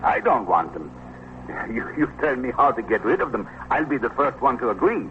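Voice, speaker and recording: A man's voice: mid-range voice, animated, moderate pace, close to an everyday voice. A man playing an anxious, obsequious soda shop keeper with a hesitant, pleading delivery in 1950s radio drama. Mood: exasperated, pleading. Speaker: actor. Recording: muffled vintage radio recording, faint hiss.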